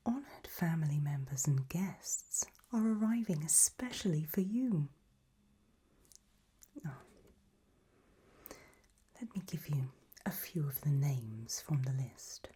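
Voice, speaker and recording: A woman playing an elegant, macabre aristocratic lady in a whispered ASMR roleplay, breathy, languid and serenely gracious. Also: deep voice, dark tone, exaggerated voice. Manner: slow, languid, genteel.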